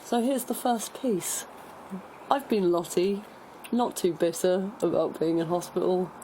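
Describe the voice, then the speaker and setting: A woman co-hosting a casual radio chat in a halting, sardonic manner. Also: on the low side, dark tone, slight background noise, poor audio. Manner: hesitant, sardonic, sweary. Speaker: radio show co-host.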